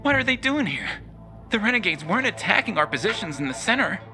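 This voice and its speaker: Frightened soldier, nervous, higher voice, music loud behind. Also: male voice, big pitch swings, fast talker. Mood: shaken. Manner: nervous, rattled. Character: shaken young male soldier, scared survivor. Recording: cutscene with loud score music.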